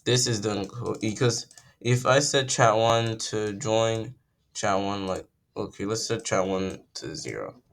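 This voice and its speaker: Croaky young man rambling through code. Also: fairly low pitch, unhurried, fairly bright tone. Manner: rambling, self-correcting, thinks aloud. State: croaky from just waking up. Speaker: coding tutorial creator. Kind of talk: casual screen-recorded coding tutorial.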